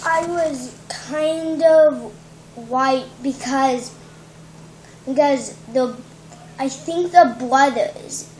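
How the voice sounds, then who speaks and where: High girly voice answering story questions, slow and halting, echoey with a bit of noise. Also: darkish tone. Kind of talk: casual short answers during a read-aloud.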